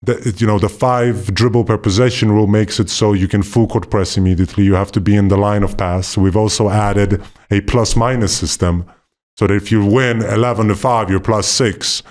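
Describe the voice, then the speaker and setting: Male podcast co-host from Poland, lowish voice, relaxed and rambling, upbeat. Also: moderate pace. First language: not Polish; left Poland at five. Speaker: podcast co-host, former basketball player. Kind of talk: casual podcast conversation.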